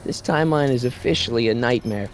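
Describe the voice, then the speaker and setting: An actor voicing an exasperated, flippant teenage son with an American accent, in a hesitant, incredulous manner for a video game. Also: male voice, mid-range voice, fast, faint music behind, lightly acted.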